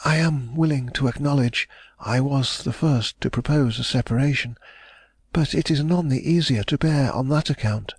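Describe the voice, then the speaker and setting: A man's voice: medium pitch, moderate pace, breathy edge, dry recording, voice pushed up. A man voicing an anxious young rich woman, with emotional, breathless pleading in his delivery.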